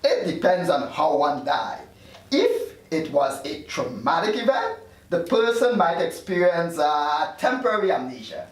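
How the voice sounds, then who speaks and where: A man's voice: a little high, big pitch swings, a little slow. A man playing an evasive afterlife doctor in his thirties, read at a script table read. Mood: matter-of-fact. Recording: very echoey room, distant mic.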